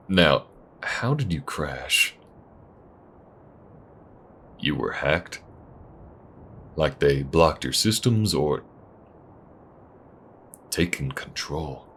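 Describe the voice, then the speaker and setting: A man playing a wary, protective chop shop owner in a space western audio roleplay, talking slowly and intimately in folksy phrases. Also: rumbling low, fairly bright tone, slight background noise, heavily acted. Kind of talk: audio roleplay acting, spoken intimately to the listener.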